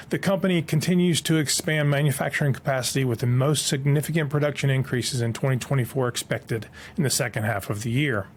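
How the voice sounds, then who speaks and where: A man hosting an online explainer, plain-spoken and informal, moving between reading aloud and commentary. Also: medium pitch. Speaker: online video host.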